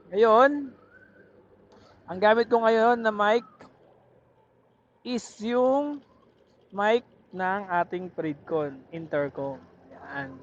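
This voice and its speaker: High-pitched Filipino man vlogging casually. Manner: informal, addressing viewers with tag questions. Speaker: motovlogger. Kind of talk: casual tutorial vlog. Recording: muffled helmet intercom mic, background noise.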